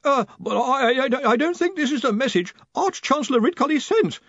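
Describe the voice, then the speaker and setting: A man voicing a nervous, apologetic male underling in an audiobook, hesitant and quavering, with a squeaky voice. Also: sing-song, moderate pace, fairly bright tone, no room sound, muffled recording. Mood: squeaky nervousness. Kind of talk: audiobook character voice.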